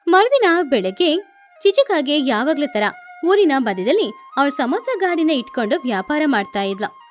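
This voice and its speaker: A woman narrates a children's tale in a very high voice. Her pitch swings in a lively way, and music runs behind the narration. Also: moderate pace. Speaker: story narrator. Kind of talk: scripted children's story narration.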